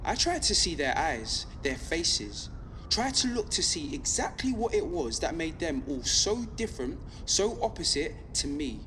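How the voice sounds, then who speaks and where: Defiant young rebel broadcaster, reverberant. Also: female voice, deep voice, sing-song, a bit bright, heavily acted. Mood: wary, reflective. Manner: deliberate, emphatic, rhetorical repetition. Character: defiant young underground rebel radio broadcaster, urgent, earnest. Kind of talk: scripted audio drama monologue. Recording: long reverb, faint background noise.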